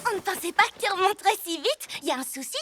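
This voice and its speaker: Confident, high-voiced little girl hero. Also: expressive, fast talker, over loud music. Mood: reassuring, firm. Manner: firm, clear-headed, defiant. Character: sensible, quick-witted, confident six-year-old girl superhero. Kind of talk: children's cartoon dub.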